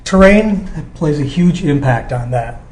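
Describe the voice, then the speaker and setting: Mellow American man presenting, echoey room. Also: on the high side, animated, measured pace. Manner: explanatory, checks in with "okay". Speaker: company representative presenting. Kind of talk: explanatory technical presentation. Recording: echoey room with background noise.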